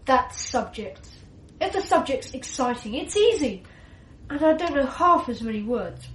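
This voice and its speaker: A woman's voice: sits high, animated, measured pace, fairly bright tone, roomy sound, slight background noise. A woman playing an eager, modest young English schoolboy who admires his friend, in a radio drama. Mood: admiring. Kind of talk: 1980s radio drama acting.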